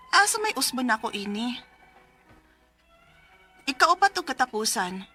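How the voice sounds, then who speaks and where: A woman's voice: on the high side, bright, forceful tone. A woman acting a troubled mother earnestly reading her letter for advice in a radio drama, with a Cebuano accent.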